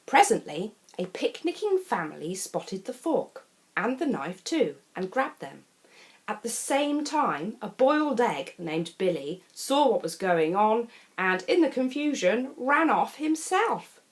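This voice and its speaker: Woman, children's storyteller, very lively swooping intonation, theatrical, chatty asides. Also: a little high, unhurried. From England. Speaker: storyteller reading a children's picture book. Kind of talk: expressive children's storybook narration.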